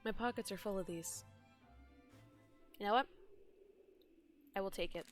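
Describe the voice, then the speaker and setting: A woman's voice: medium pitch, a little slow. Woman streamer narrating a game. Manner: dramatic narration. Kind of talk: reading game dialogue aloud and translating it on stream. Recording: streamed with game music behind.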